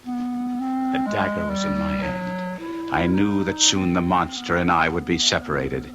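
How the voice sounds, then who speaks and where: An actor playing a tormented young gentleman in an old-time radio drama, with intense, theatrical and formal archaic delivery. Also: deep voice, sing-song, measured pace, music in the background. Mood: frenzied, grim. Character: Guido, tormented young gentleman recounting a duel with a monster.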